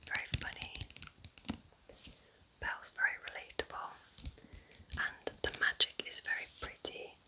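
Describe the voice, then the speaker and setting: A woman whispering in a breathy, unvoiced voice, chatting gently and casually in short soft phrases as an ASMR presenter. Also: measured pace, pauses often, poor audio. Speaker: ASMR creator presenting book recommendations.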